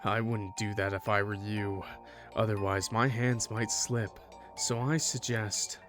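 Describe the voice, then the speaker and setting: A man voicing a menacing young male yandere with a knife, speaking low, quiet and threatening. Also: steady tone, measured pace, over music, natural voice. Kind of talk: voicing lines of a visual novel.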